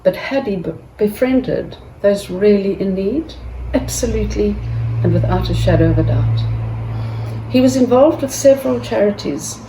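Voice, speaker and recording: A woman speaks slowly and haltingly in a mellow voice, restarting her sentences often. She sits back from the mic in an echoey room. A faint hum of ambience lies underneath. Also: medium pitch, lively intonation, far from the mic. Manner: halting, with many restarts and pauses. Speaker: family member giving a tribute. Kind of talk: tribute speech.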